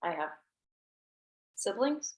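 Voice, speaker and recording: A woman's voice: mid-range voice, big pitch swings, slow, a little mellow. A woman at a script table read playing a guarded, pessimistic teenage girl who speaks in a subdued way. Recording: roomy echo.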